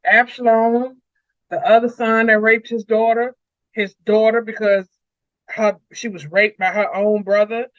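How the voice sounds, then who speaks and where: A man's voice: sits high, flat delivery, a little slow, no room sound, highs cut off. A man talking casually through scripture as a co-host, emphatic and repeating phrases for weight. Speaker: co-host of a Bible read-along discussion.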